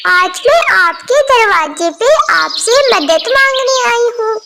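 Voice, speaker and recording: A once-haughty mother bird from a children's cartoon, now humbled, played by a woman. Her voice is extremely high, slow and pleading, on a very noisy recording full of chirping. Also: animated, gravelly, exaggerated voice. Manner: pleading, earnest. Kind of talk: children's cartoon moral story. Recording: very noisy, birdsong behind.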